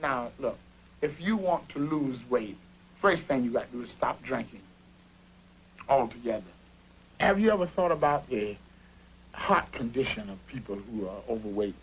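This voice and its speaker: An actor playing an excitable, worrying construction worker who rambles insistently, stammering and repeating himself in conversational cartoon dialogue. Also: male voice, medium pitch, normal speed, long pauses, husky, rough recording. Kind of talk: improvised conversational dialogue in an animated short.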